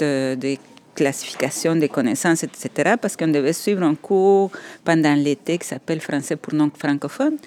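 A woman's voice: deep voice, a little mellow. Woman recalling her past. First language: Spanish. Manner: reflective, with hesitations and laughs. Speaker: interview guest. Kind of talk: personal storytelling in a podcast interview.

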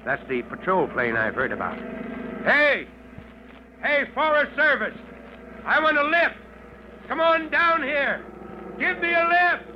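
An actor playing an elderly, blustery, excitable fire chief in a 1940s radio drama, given to exclamations. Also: male voice, very high voice, slow pace, long pauses, noisy background, heavily acted. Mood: excited. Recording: muffled old radio recording, music behind.

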